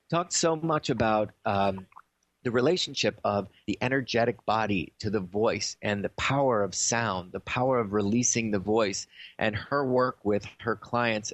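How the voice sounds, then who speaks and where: A man's voice: mid-range voice, dry recording. Enthusiastic male radio host, dry close sound. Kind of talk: conversational radio hosting.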